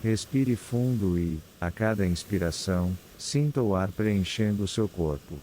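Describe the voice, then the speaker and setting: A man with a Brazilian accent guides a scripted meditation in a calm, soothing, unhurried manner with long pauses. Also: on the low side, a little hiss or hum. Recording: clear background ambience of ocean waves. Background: sea waves ambience.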